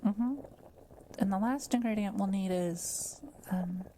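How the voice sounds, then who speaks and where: A woman playing a kind, quiet forest witch in an audio roleplay, speaking gently and patiently as she explains things to the listener. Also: mid-range voice, slow, a little hiss or hum, close to an everyday voice. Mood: focused, explanatory. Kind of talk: soft-spoken audio roleplay addressed to the listener.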